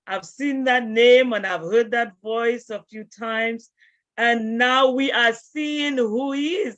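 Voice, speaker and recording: A woman's voice: a little high, fairly bright tone, no echo. A woman hosts an online interview, taking her time over each phrase. Her voice comes through a muffled video-call connection with no room sound. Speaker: interview host. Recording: dull dry video-call audio.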